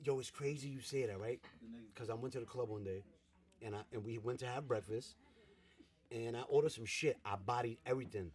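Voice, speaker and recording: A man's voice: medium pitch, a little fast, a little mellow, slightly rough. A man co-hosting a casual podcast, laid-back and boastful as he tells crude stories. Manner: laid-back, boastful storytelling, breaks into laughter. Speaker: podcast co-host.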